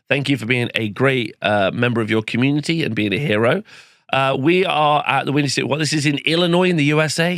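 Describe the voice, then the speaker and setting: A man hosting a casual rundown of game results, his voice croaky as it comes back, rambling with fillers. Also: medium pitch, a bit bright, dry recording, studio quality. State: croaky, recovering lost voice. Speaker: host. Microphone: home studio microphone.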